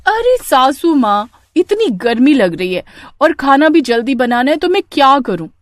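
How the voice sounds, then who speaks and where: A young new bride acted by a woman narrator pleads and explains herself without shame. Her voice often lifts high and moves animatedly, in a dry recording. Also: big pitch swings, moderate pace, dry recording. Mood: pleading. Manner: pleading, self-justifying. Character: young new daughter-in-law, pleading, unashamed and heat-weary.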